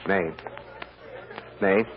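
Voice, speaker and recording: An actor playing a resolute defense lawyer who is gentle with children, in an old-time radio drama. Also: male voice, drawn-out words. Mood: earnest, urgent. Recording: dull, noisy vintage radio recording.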